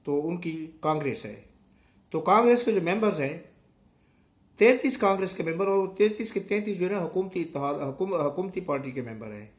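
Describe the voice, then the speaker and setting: Higher-pitched Pakistani man, sore throat. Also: expressive, brisk. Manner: emphatic, rhetorical questions and repetition. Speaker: political commentary vlogger. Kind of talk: unscripted political commentary addressed to viewers.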